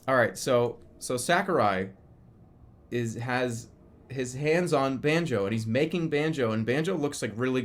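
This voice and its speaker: A man in his thirties chatting casually as a live streamer, rambling and often restarting or repeating his phrases. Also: medium pitch. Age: 30s. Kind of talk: casual livestream chat commentary.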